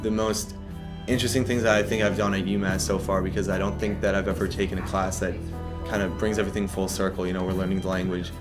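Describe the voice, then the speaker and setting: A man's voice: low voice, little pitch movement, fast talker, over music. A young man student talking in a rambling way with restarts. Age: young adult.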